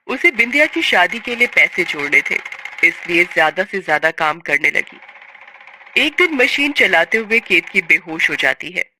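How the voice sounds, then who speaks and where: A woman's voice: lowish voice, pushed and bright, slight background noise, poor audio. A woman narrating a scripted story in a dramatic storytelling style.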